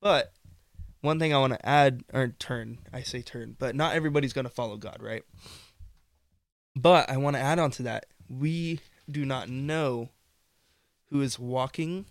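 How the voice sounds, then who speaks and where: A man co-hosting a podcast in casual faith discussion, earnest and rambling, his voice going hoarse. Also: medium pitch, moderate pace, no room sound. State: losing his voice, coughing. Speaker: podcast co-host.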